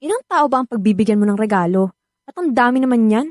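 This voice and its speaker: A woman's voice: fairly high pitch, expressive, fast, a little hiss or hum, lightly acted. An actress playing an aloof, unimpressed young woman being courted in a Filipino radio drama, curt and dismissive, with a Filipino accent.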